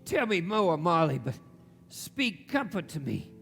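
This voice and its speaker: Echoey stage, guy doing a high querulous old miser, jumpy pitch, scared but grumbly. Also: sing-song, measured pace, creaky, fairly bright tone, faint music behind, heavily acted. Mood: fearful. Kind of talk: live radio play acting. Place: theatre stage, live performance at featured mics. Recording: echoey theatre stage, long reverb.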